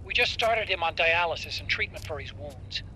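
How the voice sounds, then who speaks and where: A jittery young tech whiz in a video game cutscene, anxious and quick to fret. His voice is high and very bright, almost piercing, with a faint hiss behind it. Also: lively intonation, low-quality recording. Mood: worried. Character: nervous, fussy young male tech expert.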